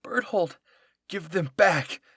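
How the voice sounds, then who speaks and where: A man voicing a fierce, vengeful young female warrior in an anime fan-fiction reading, forceful and commanding. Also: medium pitch, steady tone, moderate pace, vocal fry, breathy edge, dry recording, clean studio sound. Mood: furious.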